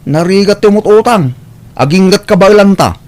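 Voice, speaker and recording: A man's voice: sits high, normal speed, darkish tone, close to an everyday voice. A man playing a concerned father who questions and admonishes his grown daughter, with an Ilocano accent, in a radio-style comedy drama. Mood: admonishing. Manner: questioning, lecturing.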